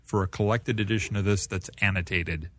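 A man's voice: lowish voice, steady tone, brisk, dry recording. A man chatting casually as a panelist on a comics podcast.

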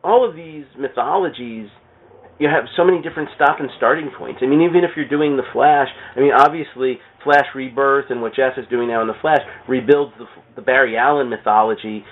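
A man's voice: mid-range voice, brisk, a little hiss or hum. A man chatting casually about comics and films in a rambling, jokey way that often interrupts itself. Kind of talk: casual comics and film chat. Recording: thin phone-like podcast audio.

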